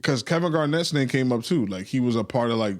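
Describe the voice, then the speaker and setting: A man's voice: medium pitch, lively intonation, fast. Argumentative man hosting a podcast. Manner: argumentative, swearing, laughs often. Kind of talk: casual debate chat.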